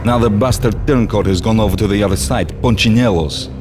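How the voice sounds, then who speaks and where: Low-voiced, theatrical gangster arms dealer played by a man. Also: fairly quick, over music, lightly acted. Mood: businesslike, persuasive. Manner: playful, theatrical, persuasive. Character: jovial, theatrical gangster arms dealer with old-time honor. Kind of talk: dramatic video game acting.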